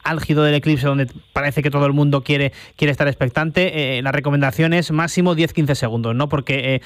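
A man's voice: a little high, steady tone, brisk, no room sound. A man with an accent from Spain, hosting a live radio interview from a studio and putting questions to a guest.

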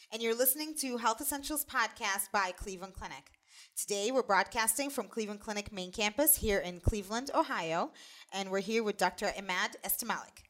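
A woman's voice: medium pitch, fairly bright tone. A woman hosting a health podcast, asking questions in a friendly manner and reacting often with "wow". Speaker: podcast host. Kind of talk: podcast interview.

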